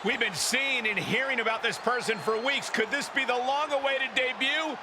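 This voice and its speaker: An actor playing a dramatic wrestling commentator who builds up the hype emphatically in a video game. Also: male voice, extremely high pitch, normal speed, background noise. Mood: anticipating. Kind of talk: sports commentary.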